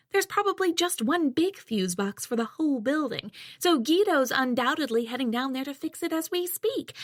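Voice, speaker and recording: A woman's voice: sits high, dry recording, clean studio sound, pitched above the performer's own voice, full character voice. A woman voicing a haughty, snobbish middle-aged mother who barks orders. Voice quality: haughty, barking.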